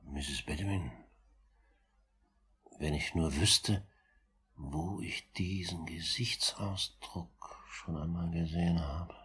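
An actor playing a kindly old gentleman in a 1950s German radio play, speaking in a gentle, fatherly, soothing way. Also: sits low, steady tone, takes it slowly, long pauses. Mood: pensive. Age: older. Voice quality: husky, breathy.

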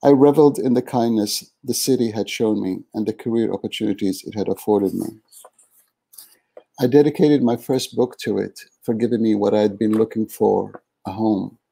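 Gravelly, dark-voiced man reading his memoir. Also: on the low side, steady tone, rough recording. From Yemen. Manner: measured reading, small restarts. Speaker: author reading from his book. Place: small room at home. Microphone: earbud headset on a video call.

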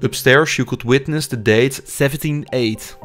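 A young man giving measured, scripted documentary narration as an urban explorer. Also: mid-range voice, fairly bright tone, soft background music. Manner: measured, explanatory delivery.

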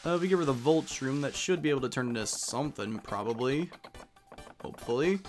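A man's voice: mid-range voice, a little fast, soft background music. A man giving casual commentary as he plays through a game. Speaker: let's player.

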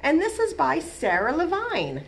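An American woman in her thirties reading a picture book aloud to children as a librarian, in an expressive, clearly paced way. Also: sits high, a little slow, slight background noise. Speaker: children's librarian and storytime reader. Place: small bright indoor room.

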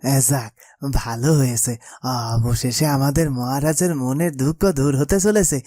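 A man's voice: mid-range voice, a little slow, mellow, no echo, clean studio sound, lightly acted. A man voicing a loyal, tender-hearted royal servant in a fairytale cartoon, speaking earnestly and with sympathy. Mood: relieved. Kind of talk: Bengali fairytale cartoon dialogue.

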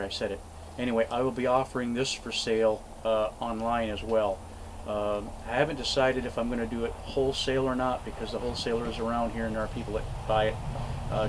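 Older guy on a cabin porch, rambly folksy chat, camera mic, faint noise outside. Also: medium pitch. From Alaska. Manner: rambling, folksy, many fillers and restarts. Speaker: homesteading vlogger. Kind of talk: casual talk to camera. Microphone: camera mic at close range. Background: faint outdoor noise, passing airplane.

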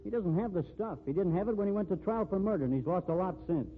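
An actor playing a stern, jealous, blunt friend, lecturing forcefully in 1950s radio style. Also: male voice, high voice, expressive, quick pace. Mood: scornful warning. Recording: tinny, noisy 1950s radio recording.

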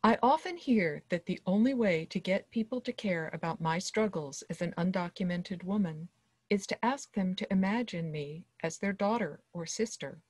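A woman in her sixties reads a personal text aloud as a guest reader. Her voice sits slightly low for a woman. The sound is dry, with very little room in it, coming through a video call. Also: lively intonation, normal speed, a little mellow, breathy edge, dry recording. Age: 60s. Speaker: history professor, guest reader. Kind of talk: introduction and reading of a personal monologue aloud. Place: small dim wood-walled room indoors.

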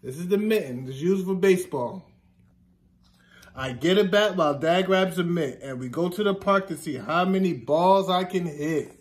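A man in his thirties, a teacher reading a children's picture book aloud in a deliberate sing-song way, stressing repeated words. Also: high voice. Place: classroom, indoors.

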